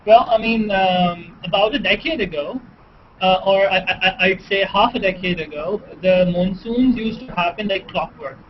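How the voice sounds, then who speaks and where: Man from pakistan, high pitch, halting, lots of likes, lo-fi call audio, faint hiss. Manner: halting, many likes and restarts. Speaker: guest on the call. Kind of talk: casual questioning. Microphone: video call. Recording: dull lo-fi video call audio.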